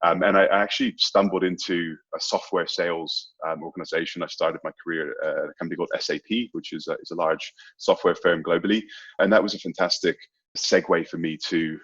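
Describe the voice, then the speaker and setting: A man's voice: sits low, level tone, brisk, fairly bright tone, breathy edge, muffled recording. A man in his thirties from Bermuda with a British accent touched by American and Australian sounds, chatting casually as an interview guest. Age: 30s. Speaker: guest, sales executive.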